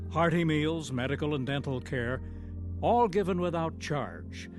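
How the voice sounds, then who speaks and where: An American man giving scripted, formal narration as the announcer of a radio drama. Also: fairly high pitch. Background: music.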